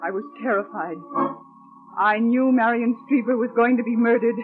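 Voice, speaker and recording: A dull vintage radio recording carries an actress as a fearful, devoted female secretary. Her voice sits high and intense, delivering mystery drama in a melodramatic, anxious style, with music in the recording. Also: fairly even intonation, normal speed, close to an everyday voice. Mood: haunted dread. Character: anxious, loyal female secretary, pleading and dread-filled. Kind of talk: old-time radio mystery acting and narration.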